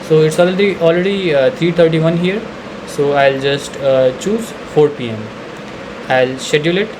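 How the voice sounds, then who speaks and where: Male demo presenter, fairly high voice, halting screen-share narration, clear background hum. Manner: hesitant, halting delivery with frequent uhs.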